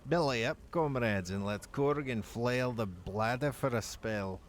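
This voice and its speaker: A man voicing a gruff, bloodthirsty male dwarf warrior in a put-on Scottish accent, telling tales in an archaic brogue for a game. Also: medium pitch, slight background noise, close to an everyday voice. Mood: boastful. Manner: slow, boastful storytelling. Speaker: player reading the dialogue.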